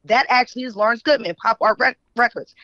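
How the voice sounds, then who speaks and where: A woman's voice: fairly high pitch, fast talker, no room sound. A middle-aged woman with a mixed Philadelphia and New York accent tells stories in a casual, slangy way, often checking in with the listener. The sound is dry and a little dull and muffled. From West Philadelphia, United States. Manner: animated slangy storytelling, checks in with "right?". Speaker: radio show guest, writer. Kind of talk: casual interview chat, reminiscing.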